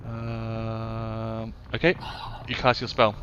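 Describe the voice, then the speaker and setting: Low-voiced Kiwi game master, quick and playful. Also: male voice, completely flat delivery, lo-fi sound, background noise. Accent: New Zealand. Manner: quick, loose and playful, punchy when narrating hits. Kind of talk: running a live tabletop game.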